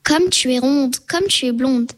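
A woman's voice: sits high, measured pace. A woman playing a sly old fox. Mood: coaxing. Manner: coaxing, wheedling.

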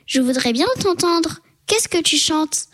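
A woman voicing a sly old deaf male fox who coaxes and flatters. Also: sits high, a little slow, pitched above the performer's own voice. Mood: coaxing. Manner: coaxing, wheedling.

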